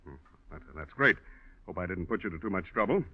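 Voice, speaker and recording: An actor playing a smug, needling creditor with false politeness, in 1940s radio drama style. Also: male voice, low voice, animated, a little fast, no room sound. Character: smug cigar-puffing male creditor, needling. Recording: dry, dull vintage radio recording.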